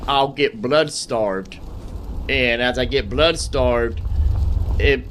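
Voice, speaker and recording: A man's voice: mid-range voice, slow, a bit bright, slight background noise. A man giving casual gameplay commentary in a folksy, rambling way, explaining things and talking to himself. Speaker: gaming host.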